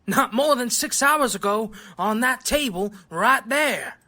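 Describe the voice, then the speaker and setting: A man's voice: extremely high pitch, lively intonation, a little slow, sharp tone, heavily acted. An actor playing a precise, earnest man of science, formal and insistent, in an audio drama. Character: earnest, precise scientist doctor calmly explaining the impossible.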